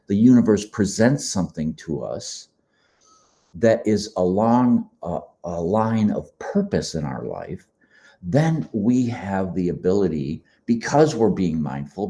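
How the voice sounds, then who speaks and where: A man hosting a podcast, giving a slow, meditative spiritual talk in a slightly hoarse, froggy voice. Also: sits low, dark tone. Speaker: podcast host. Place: quiet beach condo.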